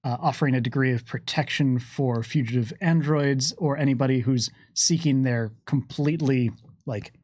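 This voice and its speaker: A man's voice: mid-range voice, normal speed, darkish tone, dry recording, studio quality. A man hosting a livestream, chatting casually through viewer questions in a wry, musing way with playful asides. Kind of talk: casual game lore Q&A chat.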